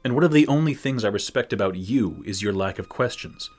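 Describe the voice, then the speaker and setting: A man's voice: fairly low pitch, animated, fairly quick, darkish tone, soft background music. A man playing a self-assured, insolent human bounty hunter in an audio drama, smug and defiant.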